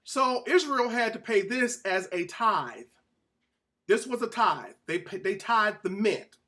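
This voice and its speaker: High-pitched man teaching scripture emphatically. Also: lively intonation, unhurried, sharp tone. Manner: emphatic, repeats phrases for stress, puts questions to listeners. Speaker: Bible teacher presenting a show. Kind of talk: informal teaching sermon with read-out scripture.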